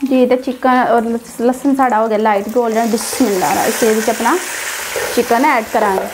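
A woman's voice: a little high, fairly even intonation, moderate pace, poor audio. A woman with a Punjabi accent narrates a recipe step by step as a home cook, instructing her viewers directly. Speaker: home cook, cooking channel host. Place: home kitchen, beside the stove. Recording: background noise of frying and stirring. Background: sizzling pan, stirring sounds.

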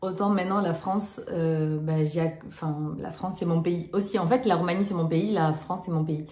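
A young woman from Romania talks to the camera in a casual storytime vlog, her voice sitting a little low. She chats along with plenty of fillers and restarts, and the small room around her rings with echo. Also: steady tone, moderate pace, dark tone. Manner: chatty, many fillers and restarts. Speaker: vlogger.

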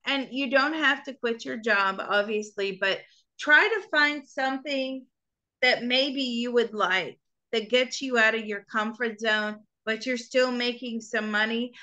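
Psychic host, woman: high and sharp-toned, lively, laughs a lot. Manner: animated, laughing, coaxing. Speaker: psychic reader and show host. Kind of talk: live call-in reading.

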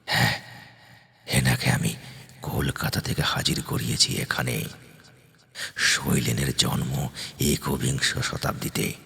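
A man voicing a boastful, desperate stage magician in an audio story, with theatrical declarations and dramatic pauses. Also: a bass voice, steady tone, creaky, bright, forceful tone, slightly rough, voice pushed down, full character voice. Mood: excited, proud.

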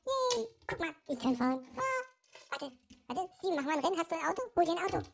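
A squeaky little plush puppy, put on by a man, bouncing high and low with a gravelly rasp. It is eager and playful, and it teases its owner. The voice jumps all over the place like a toy come to life. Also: quick pace, full character voice. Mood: excited. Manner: excitable, teasing. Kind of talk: toy puppet play during an unboxing video.

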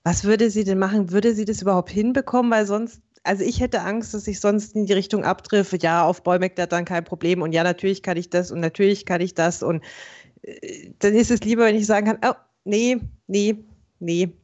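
A woman's voice: mid-range voice, steady tone, brisk, darkish tone. A woman on a group video-call stream, talking casually in a rambling way. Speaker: stream participant, novice player. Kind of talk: casual chat. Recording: slightly dull voice-call sound.

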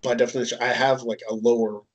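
A man's voice: mid-range voice, flat delivery, fast. A man in his thirties co-hosting a casual chat on a headset, rambling and self-deprecating with many ums. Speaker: co-host. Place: home room, indoors.

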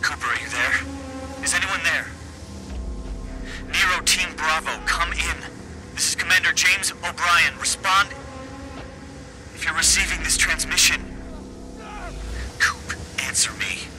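A man's voice: fairly high pitch, lively intonation, unhurried, long pauses, rough recording. A man playing a guarded, dutiful team commander in a video game, speaking in terse, formal radio phrases. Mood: urgent. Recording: game music behind.

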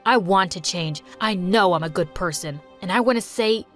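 A sullen teenage boy with a lowered, scornful voice, as a woman puts him on. He sounds bitter and resentful, with soft music playing faintly underneath. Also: normal speed, lightly acted. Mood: resolute.

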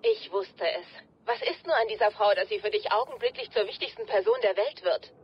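A woman voicing a sharp, caring tech support ally speaking over a radio link in a game dub. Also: mid-range voice, expressive, brisk, breathy edge, dull audio. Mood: concerned.

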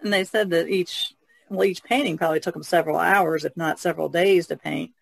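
A man's voice: on the high side, fairly even intonation, brisk, vocal fry, dry recording. A man joining a casual podcast conversation as a guest, adding short chatty remarks.

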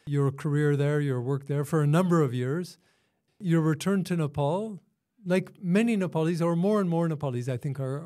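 A man hosting an interview podcast, reading a scripted intro and then asking measured questions. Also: on the high side, warm tone. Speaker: podcast host.

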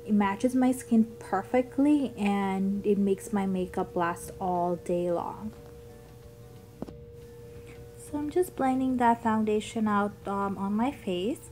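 A woman's voice: mid-range voice, slow pace, warm tone, soft background music. A young woman with a childlike voice narrating a makeup tutorial in a chatty, upbeat way. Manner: chatty, upbeat product talk with ums. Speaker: beauty vlogger.

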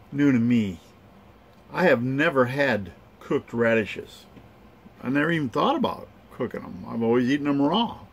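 An older man in his sixties chats through his camp vlog in a folksy, easygoing way, chuckling now and then. He takes his time with every phrase and keeps a middle-pitched voice. He holds the camera close inside a camper. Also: creaky, slight background noise. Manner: chatty, folksy, chuckles often, slow drawn-out phrasing. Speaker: outdoor cooking vlogger. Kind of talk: casual camp vlog narration. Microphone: camera held close to the face.

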